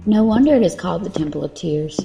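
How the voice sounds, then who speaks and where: A woman's voice: fairly low pitch, expressive, quick pace, creaky, mellow, soft background music. A woman playing a curious, sceptical young travelling companion in an audio drama, questioning and a little dry.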